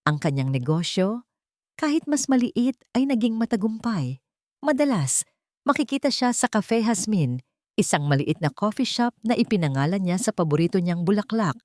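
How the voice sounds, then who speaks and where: A woman's voice: medium pitch, big pitch swings, moderate pace, a little mellow, no room sound. A woman reading a scripted, dramatic story as its narrator.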